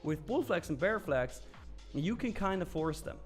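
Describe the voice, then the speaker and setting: A man hosts a live stream, answering viewers in a loose, candid way with plenty of fillers. His voice sits a little on the high side, and faint music plays softly beneath it. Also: expressive, normal speed. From Switzerland. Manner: loose and candid, with frequent fillers and some mild swearing. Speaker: live trading stream host. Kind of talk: casual live-stream chat, reading and answering viewer comments.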